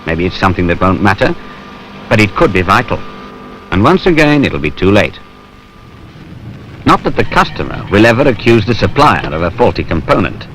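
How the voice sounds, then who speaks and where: A man's voice: fairly low pitch, sing-song, normal speed, pauses often, a bit bright. A British man giving wry, colloquial, conversational narration for a film. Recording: noisy 1970s industrial film soundtrack.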